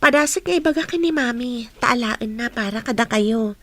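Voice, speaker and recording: A woman's voice: fairly high pitch, lively intonation, moderate pace, a bit bright. A woman playing a helpful, coaxing young village daughter in a radio drama. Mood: teasing. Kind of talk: Ilocano radio drama acting.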